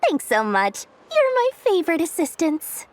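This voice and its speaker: A woman playing a brisk, proud businesswoman in a game dub, speaking in a breezy, assertive, matter-of-fact way. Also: very high voice, wildly swooping pitch, slight background noise, heavily acted. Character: brisk, proud businesswoman and investor-minded merchant lady. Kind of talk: English video game dub acting.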